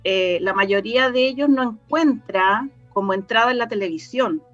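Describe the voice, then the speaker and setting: A woman's voice: fairly high pitch, moderate pace, fairly bright tone, highs cut off, soft background music. A woman with a Chilean accent explaining things casually as an interview guest, often searching for words. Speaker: interview guest, radio drama scriptwriter.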